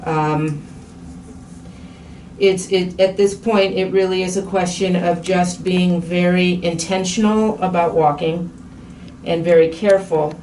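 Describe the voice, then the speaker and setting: A woman podiatrist talking frankly with a patient in a measured, plain-spoken way. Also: fairly low pitch, steady tone, a little mellow, recorded from a distance. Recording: echoey room, off mic, faint noise.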